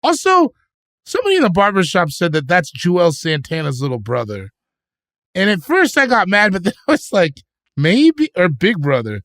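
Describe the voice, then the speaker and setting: A man co-hosting a comedy podcast, riffing jokes in animated banter and trailing off into mutters. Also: sits high, moderate pace, no echo, clean studio sound. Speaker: podcast co-host.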